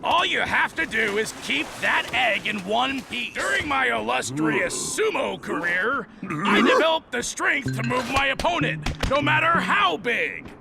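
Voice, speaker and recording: A man's voice: very high voice, sing-song, measured pace, gravelly, exaggerated voice. A man voicing a big, goofy, boastful strongman coach in a cartoon game, pompously talking himself up. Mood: boastful instructing. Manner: pompous, speaks of himself in third person. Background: music.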